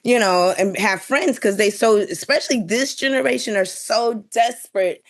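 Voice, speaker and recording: A woman's voice: fairly high pitch, moderate pace. Outspoken woman in casual panel chat. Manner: animated, outspoken, emphatic, slangy storytelling. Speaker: podcast panelist.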